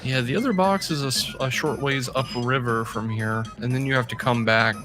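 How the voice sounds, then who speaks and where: A man's voice: mid-range voice, moderate pace. A man co-commentating a video game race in a casual way, making dry, wry remarks. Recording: music playing behind.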